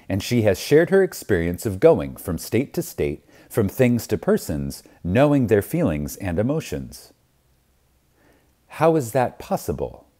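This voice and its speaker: Slow, mellow man reading with dramatic swells. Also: fairly low pitch. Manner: reverent, deliberate, emphatic with pauses. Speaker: reader of a lecture. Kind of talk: scripted spiritual lecture reading.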